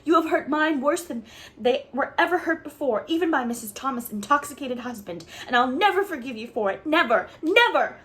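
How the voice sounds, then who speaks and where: A woman voicing a fiery red-haired orphan girl who shouts in shrill, choked fury. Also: animated, a little fast. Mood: furious.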